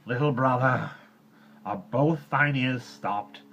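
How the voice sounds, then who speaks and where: Brief: wise teasing panther, man reading, low voice, slow drawl, muffled lo-fi sound. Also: fairly even intonation, a bit bright, slight background noise. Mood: sly drawl. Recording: dull lo-fi recording.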